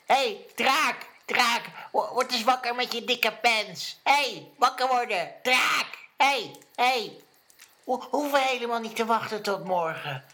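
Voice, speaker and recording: A woman's voice: a little high, lively intonation, takes it slowly, bright, forceful tone, exaggerated voice. A woman voicing a childlike, playful little fantasy creature full of wonder in a musical children's audio drama. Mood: excited, impatient. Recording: roomy, with prominent music underneath.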